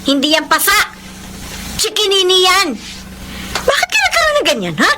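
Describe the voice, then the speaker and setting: An actress playing a suspicious, hurt young wife in a Filipino radio drama, emotional and accusing, with a Filipino accent. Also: female voice, very high-pitched, huge pitch swings, moderate pace, full character voice. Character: suspicious, hurt young wife confronting her cheating husband. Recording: noisy radio drama with background ambience.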